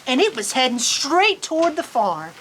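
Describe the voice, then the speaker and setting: A woman reading a picture book aloud to children in an expressive, folksy, chatty storytime manner. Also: high-pitched, moderate pace, slight background noise, low-quality recording. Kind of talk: animated children's story reading with casual asides. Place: on a farm among sheep.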